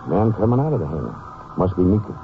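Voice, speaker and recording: An actor playing a folksy, often puzzled country sheriff in a 1950s radio drama, with a questioning, drawn-out manner. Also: male voice, deep voice, big pitch swings. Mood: alert. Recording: muffled, noisy old-time radio recording.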